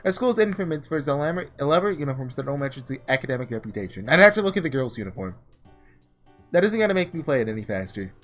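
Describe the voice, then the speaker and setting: A man's voice: medium pitch, very animated, racing, a bit bright. A man doing casual let's-play commentary, thinking aloud as he reads through a visual novel. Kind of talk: casual gameplay commentary mixed with read-aloud dialogue. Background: background music.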